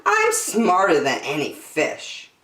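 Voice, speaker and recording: A woman's voice: lowish voice, wildly swooping pitch, slow, fairly bright tone, reverberant, full character voice. A woman voicing a cocky, impatient and stubborn young girl in a children's storybook, boastful and exclamatory. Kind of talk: children's storybook read aloud.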